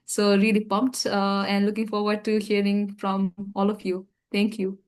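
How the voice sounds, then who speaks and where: Female guest, Nepali accent, clear smooth voice, fairly flat intonation, loosely phrased informal delivery. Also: medium pitch, normal speed. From Kathmandu, Nepal. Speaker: guest panelist, engineer and entrepreneur. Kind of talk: informal self-introduction. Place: online video call.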